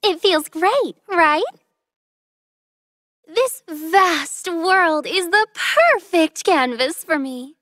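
Bubbly young artist heroine, video game character, very high voice, unhurried, coy and teasing. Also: female voice, animated, a bit bright, no room sound, studio quality, heavily acted. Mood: cheerful, teasing.

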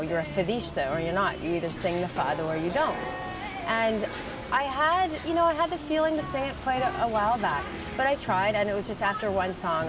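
A young woman who grew up in Canada and Portugal, a singer, talking earnestly in an outdoor interview with many fillers. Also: medium pitch. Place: outdoors by a harbor railing. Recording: distant mic with music behind.

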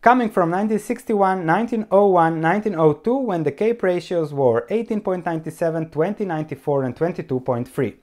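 A man's voice: high-pitched, fairly quick, hardly any pauses. A man in his thirties hosting a solo investing video, speaking to camera with emphatic, gesturing delivery on a lapel mic. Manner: animated, gesturing with both hands, emphatic.

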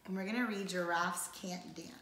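Young woman, bright voice, children's read-aloud, simple child-directed phrasing, roomy living-room echo. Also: fairly low pitch, steady tone, moderate pace. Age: young adult. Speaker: teacher reading a picture book to children. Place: indoors, living room on a leather couch. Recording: roomy, echoey home recording.

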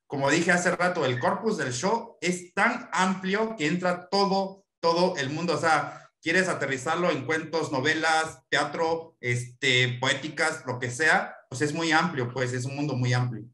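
A man's voice: a little high, a little slow, hardly any pauses, pushed and bright. A man in his thirties from Mexico, answering questions in an online lecture on a video call, earnest and thoughtful with many fillers. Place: small room at home, window behind. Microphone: laptop webcam video call. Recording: echoey room on a video call.

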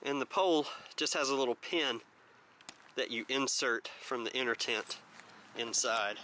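A man's voice: medium pitch, measured pace, bright, forceful tone, slight background noise. An American man around forty giving a casual gear review in vlog style. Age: 30s to 40s.

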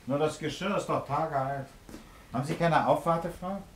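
An actor in a radio crime drama playing a calm, persistent police commissioner who questions tersely with thoughtful grunts. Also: male voice, fairly high pitch, normal speed, slight background noise, lightly acted. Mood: concerned, watchful.

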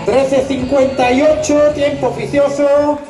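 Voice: high voice, flat delivery, measured pace. A finish-line announcer calls a live race over a PA, stretching names out emphatically and rallying applause. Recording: echoey PA at crowded event, music behind.

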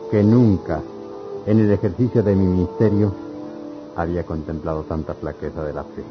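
Actor as a low-voiced village priest, muffled recording. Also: male voice, normal speed, music in the background, lightly acted. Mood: concerned. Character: kindly, concerned village priest. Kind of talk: old-fashioned radionovela acting. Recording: dull lo-fi radio serial.

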